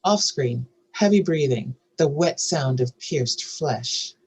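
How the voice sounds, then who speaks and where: A woman with a husky voice narrating screenplay stage directions in a scripted reading. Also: a bass voice, expressive, slow pace, a little mellow.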